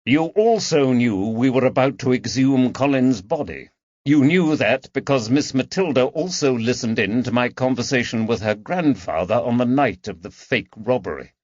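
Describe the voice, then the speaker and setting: Male reader doing a shrewd detective, mocking, dry, lively intonation, audiobook, slightly lo-fi. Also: mid-range voice, moderate pace, no room sound, pitched above the performer's own voice, lightly acted. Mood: taunting. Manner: dry, sardonic, taunting. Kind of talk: audiobook character dialogue.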